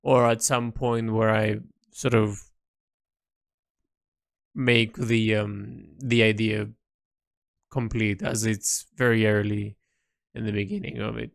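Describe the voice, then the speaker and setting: Guy doing a thoughtful audio log, gravelly, dry close mic, stops and starts a lot. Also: lowish voice, level tone, dry recording. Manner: halting, hesitant, thinking aloud with repeats. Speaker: painter recording an audio log. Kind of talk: reflective spoken audio diary, unscripted.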